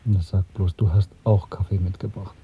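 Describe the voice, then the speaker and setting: A man's voice: low-pitched, flat delivery, moderate pace, breathy edge, no echo. An actor playing an earnest, brooding middle-aged man confiding in his secret lover in a radio drama. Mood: guarded, intimate. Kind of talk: German radio play acting. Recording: muffled, narrow-band old radio recording.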